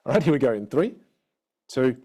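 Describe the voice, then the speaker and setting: A man with an Australian accent coaching a live online audience in an energetic, encouraging way. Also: medium pitch, brisk, slightly rough. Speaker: communication coach.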